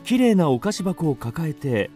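A man narrates a children's radio drama in a fairly high voice. His pitch swings very widely as he tells the story, with light music underneath. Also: big pitch swings, moderate pace, mellow.

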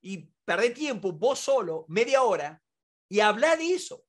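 A man's voice: sits high, very animated, unhurried, fairly bright tone. A man in his forties with an Argentine Spanish accent gives an informal lecture to camera, emphatic and full of rhetorical questions. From Córdoba, Argentina. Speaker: negotiation expert and instructor. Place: indoor room, seated at a desk.

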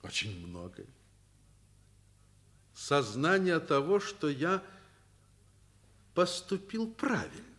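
An actor playing a principled, fatherly male school headmaster in a radio drama, speaking deliberately and emphatically with pauses between phrases. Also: fairly high pitch, huge pitch swings, fairly bright tone, breathy edge, full character voice. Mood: firm, calm. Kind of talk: Soviet radio drama acting.

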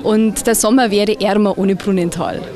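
A woman's voice: medium pitch, fairly even intonation, normal speed, warm tone. A middle-aged woman from Bavaria, a concertgoer speaking enthusiastically in an outdoor interview into a handheld mic. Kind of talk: street interview. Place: outdoors by a church. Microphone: handheld reporter mic.

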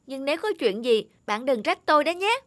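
High, kindly cartoon monkey. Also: very animated, fairly quick, a bit bright, no room sound. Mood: agreeable. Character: kind, obliging monkey friend. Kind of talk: children's cartoon fable acting. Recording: music behind the voice.

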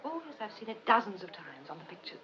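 An actress playing a bored, bitterly sarcastic scientist's wife in 1950s British film style, with a mock-sweet manner. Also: female voice, mid-range voice, big pitch swings, fast talker, fairly bright tone, a little hiss or hum. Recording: muffled old film soundtrack, party chatter.

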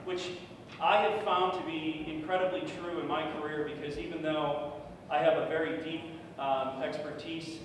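A middle-aged man giving a casual conference talk, loud and chatty with many fillers. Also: fairly high pitch, fairly even intonation, moderate pace, hardly any pauses. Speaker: conference speaker. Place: large conference room with projector. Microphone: podium microphone from far off. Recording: echoey room, distant mic, noisy.

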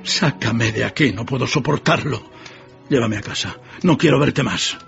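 Gravelly old miser guy begging and miserable, radio play, kinda dull sound. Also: medium pitch, normal speed, fairly bright tone. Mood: distressed. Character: Scrooge, miserly old man, anguished and pleading. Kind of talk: radio drama acting. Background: music.

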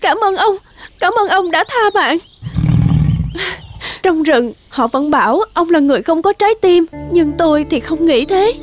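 A woman's voice: very high-pitched, lively intonation, a little slow. A woman voicing a tearful pleading mother deer. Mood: grateful. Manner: tearful, begging. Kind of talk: cartoon voice acting. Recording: music behind.

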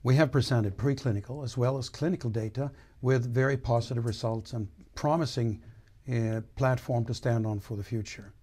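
An older man, a company board chairman, giving a measured, formal address with some hesitations, recorded on a lapel mic in a studio. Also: mid-range voice, steady tone, warm tone, husky. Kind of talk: prepared corporate address. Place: studio against a dark backdrop.

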